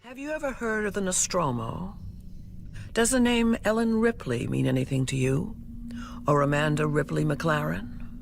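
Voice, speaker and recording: An actress playing a grave, probing woman in an audio drama, speaking in a measured, pointed way. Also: low voice, creaky, faint music behind. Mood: ominous, probing.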